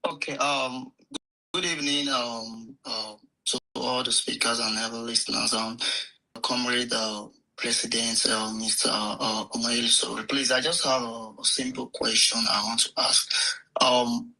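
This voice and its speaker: A man's voice: mid-range voice, flat delivery, a little slow, bright, forceful tone, low-quality recording. A man from the audience asking a question hesitantly, with many fillers.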